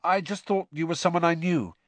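A man's voice: fairly high pitch, brisk, dry recording, pitched above the performer's own voice, lightly acted. A man voicing a puzzled, friendly boy wizard in a storybook, plain-spoken and questioning. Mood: apologetic, friendly.

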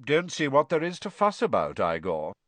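A cold, ill-natured male teacher who snaps short, snarling orders, as a man puts him on. The voice swings widely in pitch. It is recorded close and dry. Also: wildly swooping pitch, normal speed, fairly bright tone, no room sound. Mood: curt. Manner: curt, snarling.

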